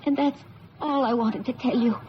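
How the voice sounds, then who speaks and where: An actress as a high-voiced, earnest young woman. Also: normal speed, natural voice. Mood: pleading. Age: young adult. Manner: earnest, emotional, breathless pleading. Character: earnest, pleading young woman convinced of a man's innocence. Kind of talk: 1970s radio drama acting. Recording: muffled, noisy old radio broadcast.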